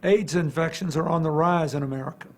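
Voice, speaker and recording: Male narrator, gravelly, fairly high, solemn measured read for an archival documentary.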